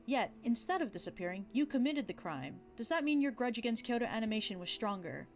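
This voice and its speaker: A woman's voice: fairly high pitch, big pitch swings, fairly quick, soft background music. A woman narrator voicing a stern, accusing courtroom questioner in a true crime documentary. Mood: stern, probing.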